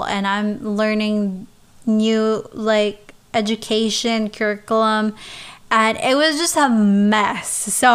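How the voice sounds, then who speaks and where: A young woman from the Philippines talks through her life story at an unhurried pace. Her voice is smooth and clear and stays in a narrow, even pitch range. She often stops and restarts her sentences. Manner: halting, with many restarts and soft laughs. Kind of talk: casual personal storytelling, answering questions.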